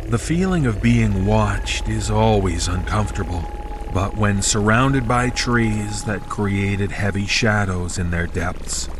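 A man reading a scripted first-person horror story as its narrator. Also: on the low side.

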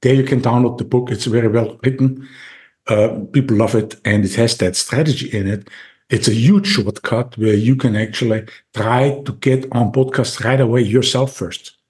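A man's voice: medium pitch, normal speed, darkish tone. Man with an Austrian accent. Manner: eager, talkative, with many restarts and fillers. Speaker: podcast guest. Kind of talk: casual interview talk.

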